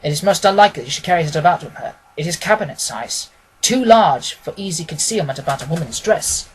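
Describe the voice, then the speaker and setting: A man reading an audiobook, voicing a confident, analytical gentleman detective with an emphatic manner. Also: on the high side, lively intonation, a little fast, slightly rough, low-quality recording. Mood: assured, analytical. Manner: deductive, emphatic, self-assured.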